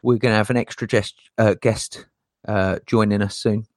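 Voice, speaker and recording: A man's voice: fairly even intonation, moderate pace, a little mellow, no room sound. A man hosting a casual crypto talk show, playful and showy, laughing often. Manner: playful showman, jokey put-on low announcer voice, laughs a lot. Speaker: crypto channel host.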